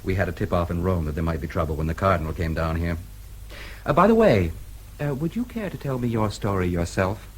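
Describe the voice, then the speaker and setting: An actor playing a shrewd, easygoing American newsman in a radio drama, with a husky voice and a casual, probing manner. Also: deep voice, expressive, a little fast, slight background noise.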